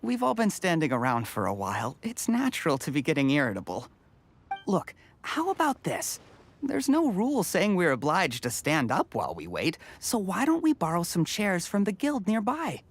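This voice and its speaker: A reasonable peacemaker smooths things over in a soothing way. Her lowish voice swings widely in pitch. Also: wildly swooping pitch, moderate pace, full character voice. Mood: placating. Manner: soothing, placating. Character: reasonable woman peacemaker in a waiting crowd. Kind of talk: video game voice acting.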